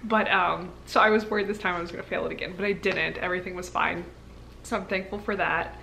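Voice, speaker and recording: A woman's voice: lowish voice, fairly even intonation, fast, vocal fry, sharp tone, slight background noise. A young adult woman chatting casually to her viewers as a vlogger, in an informal, candid, rambling way with plenty of "like". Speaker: pregnancy vlogger.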